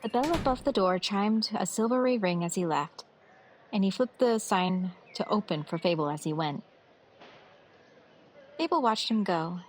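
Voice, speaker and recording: Woman reading a fantasy story, medium pitch, narrator style, soft animal n hoof sounds in the background. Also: slight background noise.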